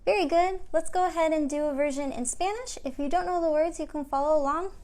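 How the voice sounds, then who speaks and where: A woman's voice: high voice, fast, darkish tone. A woman hosting a storytime for young children, giving simple, encouraging instructions as a children's librarian. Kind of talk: children's storytime with songs and rhymes.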